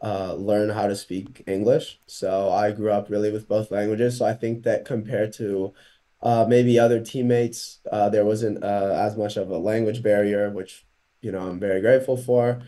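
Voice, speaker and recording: A young man from Portugal talks in a low, mellow voice over a video call. He sounds relaxed and hesitant, pausing often with "um," and he is picked up by a laptop mic in a small room. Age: young adult. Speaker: college tennis player guest. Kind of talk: casual video-call interview.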